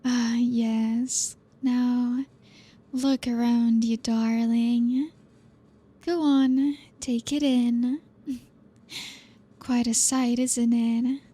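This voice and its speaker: An elegant female vampire, played by a woman, speaks as a gracious hostess with a quietly possessive, teasing edge. Her voice is slightly high yet mellow, unhurried and held to a narrow, even melody. It is an intimate ASMR roleplay delivery. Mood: teasing, hospitable.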